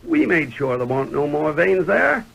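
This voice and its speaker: An actor playing a skeptical old American prospector with a gravelly, rustic Western way of talking, in 1930s radio drama style. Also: male voice, fairly high pitch, big pitch swings, normal speed, fairly bright tone, heavily acted. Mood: skeptical, grumbling. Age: older. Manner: rustic drawling Western speech. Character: skeptical old male prospector, folksy and grumbling. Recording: noisy 1930s radio recording.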